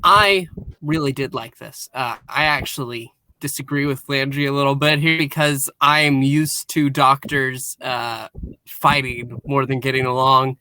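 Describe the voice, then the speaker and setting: A man's voice: mid-range voice, fairly even intonation, measured pace, bright, forceful tone, low-quality recording. A man chatting casually and animatedly as a panellist on a review show.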